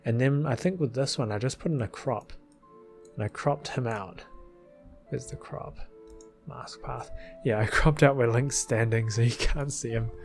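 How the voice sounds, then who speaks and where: A man's voice: mid-range voice, normal speed, vocal fry, soft background music. A man giving casual, rambling commentary as a video creator, thinking aloud in an informal, hesitant way. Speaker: video creator explaining his fan film edit.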